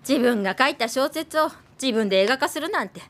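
High-voiced, sharp-tongued daughter. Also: female voice, animated. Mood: sarcastic, irritated. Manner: sardonic, blunt, quick to retort. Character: sharp-tongued, resentful screenwriter daughter of a film director. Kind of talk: naturalistic radio drama dialogue.

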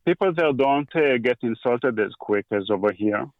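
Israeli-accented male guest, halting unhurried delivery, dry slightly dull recording. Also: medium pitch, animated, no room sound. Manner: halting, with frequent pauses and restarts. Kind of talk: casual conversation.

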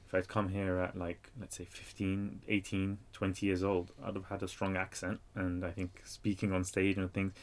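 A man's voice: low voice, moderate pace, few pauses. A man in his thirties with a London accent, a guest author talking casually with many fillers and easy laughs. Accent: London English. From Iraq. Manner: energetic, laughs easily, chatty with fillers. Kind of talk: casual interview talk.

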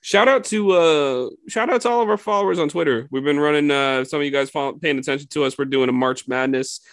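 Male co-host, gravelly, dry close recording, loose conversational delivery. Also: a little high, fast talker, no room sound. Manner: relaxed, laughs often, many fillers. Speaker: podcast co-host. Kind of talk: casual sports chat.

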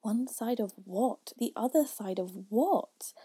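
A woman's voice: a little high, expressive, slow, warm tone, husky, no room sound, exaggerated voice. A woman voicing a timid, polite, easily flustered little girl in a storybook, hesitant and pleading. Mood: puzzled. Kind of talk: storybook reading.

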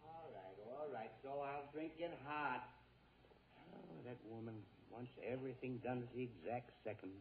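A man's voice: mid-range voice, moderate pace, lightly acted. A man playing a henpecked middle-aged father in 1940s radio comedy, exasperated and muttering to himself. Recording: tinny, noisy 1940s radio broadcast.

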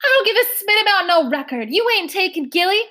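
A woman's voice: extremely high pitch, sing-song, brisk, pushed and bright, pitched above the performer's own voice, full character voice. A woman voicing a stubborn older foster mother who speaks in folksy country dialect, in a storybook reading. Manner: bellowing, folksy dialect, emotional.

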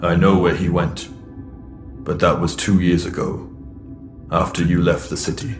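Sci-fi audio drama: stern, protective alien, solemn and terse, deep and monotone. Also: male voice, a little slow, music in the background. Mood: grave. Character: Throg, grave lawful alien Ferran, secretly protective.

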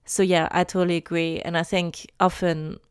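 Woman, low and smooth. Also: flat delivery, normal speed, dry recording, clean studio sound. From France. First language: French. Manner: earnest, emphatic, repeats starts. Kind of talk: casual discussion.

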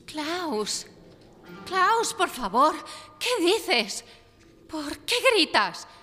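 A woman's voice: high-pitched, sing-song, drawn-out words, bright, forceful tone, exaggerated voice. A woman playing an anxious, loving young wife in live radio theatre, pleading and tender. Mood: dreamy pleading. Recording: reverberant live stage recording with music.

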